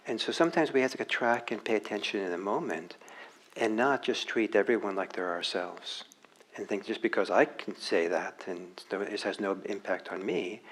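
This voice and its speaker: Man, meditation teacher, dharma talk, halting, reflective, fairly low voice. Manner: halting, reflective, many restarts. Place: meditation hall.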